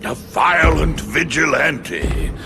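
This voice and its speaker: An actor playing a powerful, haughty crime boss in a video game, formal and indignant with a creaky voice. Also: male voice, on the high side, expressive, slow pace, exaggerated voice. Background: music.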